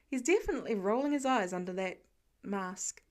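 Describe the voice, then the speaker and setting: A woman's voice: medium pitch, animated, moderate pace. A woman reading scripted fiction as an audiobook narrator.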